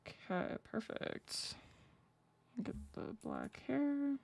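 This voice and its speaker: A young woman with a low voice chatting casually and rambling with lots of fillers while she draws on a live stream. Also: wildly swooping pitch, very slow, gravelly, darkish tone. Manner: rambling, filler-heavy, laughs easily. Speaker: streamer drawing fanart.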